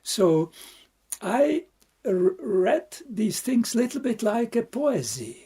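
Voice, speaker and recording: A Czech man, a Buddhist monk, gives an unhurried, reflective dharma talk with a Czech accent. His voice is deeply breathy and husky with a mellow, dark color. He pauses often to search for words and lets out soft laughs along the way. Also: sing-song. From Czech Republic.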